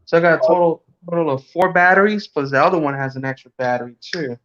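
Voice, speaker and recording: A man's voice: on the high side, fast, fairly bright tone. A man from Cuba hosting a casual livestream, rambling and joking, chuckling as he talks. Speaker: livestream host. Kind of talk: casual chat. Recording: dull lo-fi stream audio.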